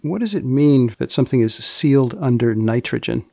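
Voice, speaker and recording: Male podcast host, wry and deadpan, precise scripted delivery, some fry in the voice, very dry close sound. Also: medium pitch, normal speed, no room sound. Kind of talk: scripted humorous narration with questions to a co-presenter.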